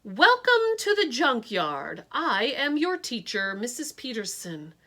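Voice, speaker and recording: A woman's voice: on the high side, big pitch swings, bright, forceful tone, pitched above the performer's own voice, exaggerated voice. A woman voicing a short, stout, brusque teacher who speaks firmly and emphatically. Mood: stern. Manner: firm, emphatic, slow declaiming.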